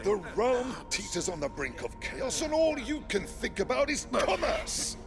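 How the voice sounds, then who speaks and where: An actor playing an indignant statesman in a fantasy game, declaiming loudly with a gravelly edge. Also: very high voice, very animated, unhurried, loud music behind the voice, full character voice. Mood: outraged. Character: outraged, indignant male statesman in heated argument. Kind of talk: dramatic fantasy game acting.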